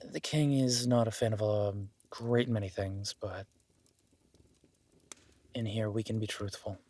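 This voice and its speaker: A man playing a young werewolf duke in an intimate audio roleplay, modest and earnest, speaking informally and haltingly as if unsure of himself. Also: on the low side, fairly even intonation, a little mellow. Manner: hesitant, self-deprecating, informal, halting.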